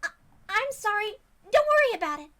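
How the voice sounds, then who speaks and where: A woman's voice: very high-pitched, sing-song, a little fast, voice pushed up, full character voice. A woman voicing a timid, worried teenage girl in a visual novel, speaking hesitantly and anxiously. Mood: nervous. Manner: hesitant, soft-hearted, anxious.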